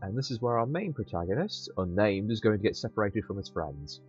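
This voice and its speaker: A man's voice: on the low side, animated, brisk, darkish tone, dull audio, soft background music. A man hosting a game playthrough, commenting casually with wry, conversational asides. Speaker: host of a gaming channel.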